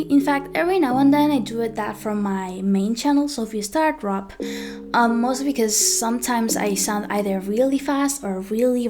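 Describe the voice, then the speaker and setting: Young woman, high-pitched voice, hesitant storytime monologue, clear guitar music behind. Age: young adult. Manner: hesitant, with frequent ums and self-corrections. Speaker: vlogger.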